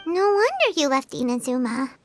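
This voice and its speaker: Tiny eager game sidekick, very high squeaky child voice, bouncy intonation, chirpy and easily flustered. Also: female voice, big pitch swings, moderate pace, faint music behind. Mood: curious, mild. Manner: speaks of herself in third person. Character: small chirpy childlike female companion, eager and fretful. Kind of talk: anime game voice acting.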